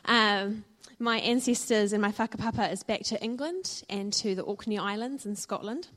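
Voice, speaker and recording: A woman with a New Zealand accent shares her faith story at a church gathering, in an earnest, reflective tone. She often pauses on ums and uhs as she speaks. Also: medium pitch, a bit bright. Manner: earnest and reflective, with frequent fillers. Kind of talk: personal testimony talk.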